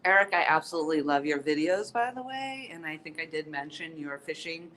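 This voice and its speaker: A woman's voice: low voice, expressive, a little fast, a bit bright, a little hiss or hum, poor audio. A woman hosting a casual live-stream tour in an outdoor courtyard, chatty and upbeat with many ums as she greets viewers, sounding stuffy-nosed and sniffly.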